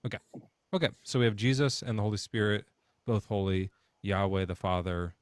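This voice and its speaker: A man's voice: fairly low pitch, fairly quick, clear, resonant tone, no room sound, studio quality. A man hosting a call-in debate show, questioning callers in a joking, sarcastic way.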